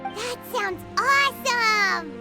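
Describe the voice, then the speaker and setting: Squeaky, very high tiny companion creature. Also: female voice, slow pace. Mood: impressed, curious. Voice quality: squeaky, childlike. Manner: excitable, talks about herself in third person. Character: tiny childlike floating companion creature, perky, nosy, eager. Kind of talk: video game voice acting. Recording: clear music behind the voice. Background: game music.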